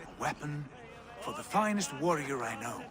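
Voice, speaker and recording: A man's voice: on the high side, very animated, moderate pace, over music. An actor playing a proud, worldly Viking warrior back from his travels, talking like a storyteller in a video game cutscene. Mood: proud, fond.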